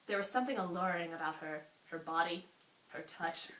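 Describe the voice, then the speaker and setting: A woman's voice: fairly low pitch, brisk, a bit bright, natural voice. A woman reading the part of an anxious, lovestruck male teacher from a story, earnest and confessional. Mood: yearning, uneasy. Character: lovestruck, anxious male teacher narrating his secret affair. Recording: roomy echo, faint hiss.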